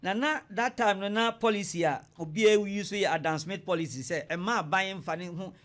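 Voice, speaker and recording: Male Ghanaian expert guest for a radio interview, high voice, explains point by point with teacherly emphasis. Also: fairly quick, a bit bright. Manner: didactic, emphatic, step-by-step explaining. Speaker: economics lecturer, studio guest.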